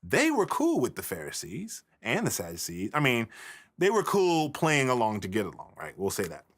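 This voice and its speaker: A man's voice: mid-range voice, huge pitch swings, moderate pace, breathy edge. A man teaching religious history in an informal, colloquial and emphatic lecture style.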